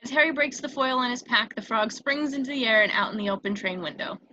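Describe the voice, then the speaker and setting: A woman's voice: fairly high pitch, fast talker, sharp tone, lo-fi sound. A woman reading scripted stage directions as the narrator of a screenplay table read. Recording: noticeable background noise on home mic.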